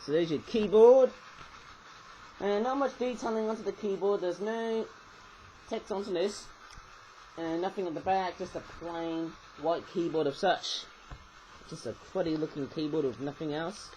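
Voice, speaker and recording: A man with a slightly high, just-woken croak rambles through a casual toy review on a webcam. He loops back, restarts his sentences and throws in chatty asides. A faint hiss sits under his voice. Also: normal speed, mellow, rough recording.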